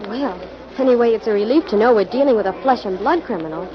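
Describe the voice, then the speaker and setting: Inquisitive, bright-minded radio-drama assistant, female actor. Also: fairly high pitch, quick pace, crowd noise, lightly acted. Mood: curious. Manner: curious, conversational. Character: inquisitive, level-headed young female detective's assistant. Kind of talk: 1940s radio acting. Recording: muffled, noisy old radio recording.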